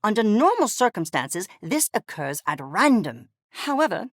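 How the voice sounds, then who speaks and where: A woman's voice: mid-range voice, very animated, normal speed, no echo, clean studio sound. A woman voicing a pompous, obsessive fuzzy creature scientist in a video game, coldly curious and disdainful, with precise, haughty, clipped academic diction. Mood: haughty, eager. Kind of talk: video game character voice lines.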